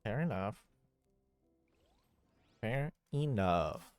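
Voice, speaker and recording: A man's voice: lowish voice, lively intonation, extremely slow, darkish tone, smooth, no echo, soft background music. Man musing aloud as he streams a game. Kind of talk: casual commentary while playing a video game.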